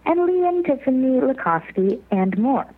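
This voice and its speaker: A woman reads a scripted promo voicemail in an upbeat voice with lively pitch. The sound comes through a thin, tinny phone line. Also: normal speed. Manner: upbeat, scripted, listing names. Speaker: caller leaving a promotional voicemail. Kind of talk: read promotional message.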